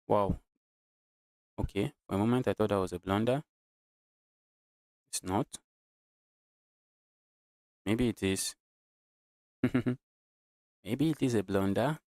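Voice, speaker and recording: A man's voice: lowish voice, takes it slowly, long pauses, a little mellow, no room sound, clean studio sound. A man from Nigeria with a Nigerian accent, giving casual live commentary on his own game as a streamer, thinking aloud, repeating phrases and chatting to viewers. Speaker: chess streamer.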